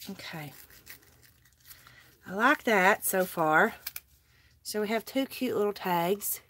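A woman's voice: medium pitch, lively intonation, takes it slowly, fairly bright tone. A woman with a Southern US accent, chatting casually with viewers during a live crafting session at her table. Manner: chatty, talks to viewers while working. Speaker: craft livestream host. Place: indoor craft table, overhead camera.

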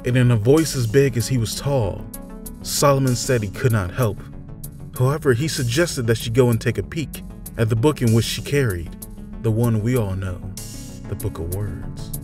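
A man narrating a scripted fantasy story in a measured, deliberate, theatrical storytelling manner. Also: mid-range voice. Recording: music behind the voice. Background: background music.

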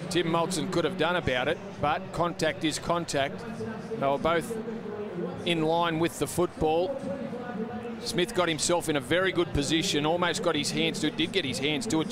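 A man giving calm, analytical expert commentary on a rugby league match from a stadium commentary box. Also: fairly high pitch. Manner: measured, explains the play. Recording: broadcast with loud stadium noise.

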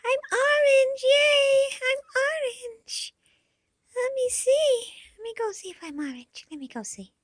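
A woman voicing a cheerful, childlike green dinosaur in pretend play, with a high, squeaky, sing-song voice, gasping, exclaiming and now and then dropping to a whisper. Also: big pitch swings, quick pace, few pauses, a little mellow, no echo, clean studio sound. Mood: unsure. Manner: gasping and exclaiming, asks questions, drops to whispers.

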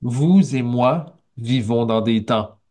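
A man's voice: mid-range voice, dark tone. A man in his forties with a Québécois French accent, preaching a sermon over a headset on a video call from a small office. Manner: slow, measured preaching with pauses and rising emphasis. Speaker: pastor.

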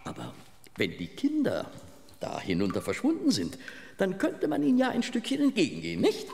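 Fussy villain professor, older, high voice swooping everywhere, big echoey hall. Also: male voice, huge pitch swings, moderate pace, gravelly, darkish tone, heavily acted. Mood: fussy, scheming. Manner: hesitant, fussy, blustering. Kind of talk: German children's audio drama acting. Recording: echoey hall, faint noise.

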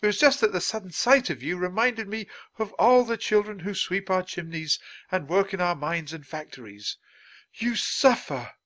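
A man voicing a kindly, indignant English gentleman author in a storybook, with grand, emphatic speech and a theatrical manner. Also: high-pitched, expressive, moderate pace, pitched above the performer's own voice. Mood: kindly, earnest.

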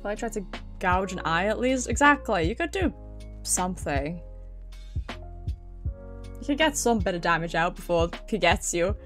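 Female British livestreamer, expressive, sweary and informal, mid-range voice with faint music behind. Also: mid-range voice, moderate pace, long pauses. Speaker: streamer. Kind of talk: casual livestream chat. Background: background music.

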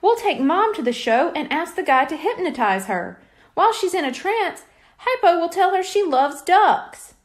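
Woman acting little boy, storybook, high voice, excitable, scheming, pleading, lively swings. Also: normal speed. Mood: excited. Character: six-year-old boy, messy, eager, scheming.

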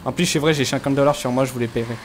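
A man's voice: mid-range voice, fairly even intonation, rapid-fire, slight background noise. A man clowning through improvised video game roleplay, crude and repetitive in the way he talks. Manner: crude, clowning, repeats himself.

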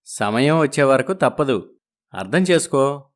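A man's voice: mid-range voice, expressive, measured pace, lightly acted. A man voicing a young husband who soothes his wife and dodges trouble in a storybook drama. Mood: evasive. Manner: soothing, evasive. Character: young husband torn between wives, evasive.

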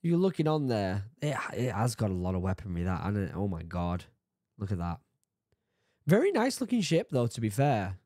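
A man's voice: fairly low pitch, sing-song, normal speed, dark tone, dry recording, studio quality. A man in his thirties with a sore throat, chatting casually as he reacts to a video at home. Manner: chatty, jokey, laughs at himself. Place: home room with bookshelves. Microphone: studio mic on boom arm, headphones.

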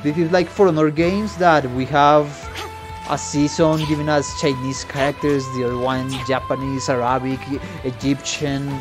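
A man's voice: on the high side, level tone, normal speed. Guy reacting to videos, swears a lot, blurts stuff out, game music under him. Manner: profane, exclamatory. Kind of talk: casual reaction commentary. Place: small room at home. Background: game trailer music and voices.

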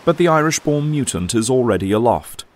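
A man's voice: mid-range voice, animated, brisk. A man reading scripted dramatic narration as the narrator of an audio drama. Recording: music bed under the voice. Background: music and effects.

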